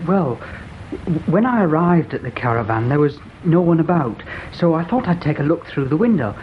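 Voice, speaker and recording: A naive young English lad, played by a man in a radio play, earnest and hesitant with very lively intonation. He is eager and deferential to his betters. The recording is muffled, with noise behind it. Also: fairly high pitch, moderate pace, close to an everyday voice. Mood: earnest recounting. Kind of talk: radio drama acting.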